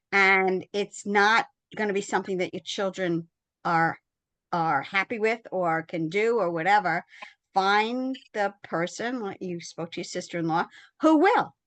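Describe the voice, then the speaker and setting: A woman, a bereavement specialist and co-host, speaking emphatically in a casual conversation, with quick bursts of speech. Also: medium pitch, a bit bright, no room sound.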